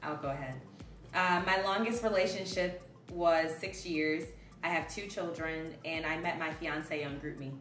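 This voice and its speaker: A woman's voice: low voice, fairly even intonation, moderate pace, a bit bright, recorded from a distance. A woman from Chicago, a guest in a casual group talk, speaking in a matter-of-fact way. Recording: roomy, music behind.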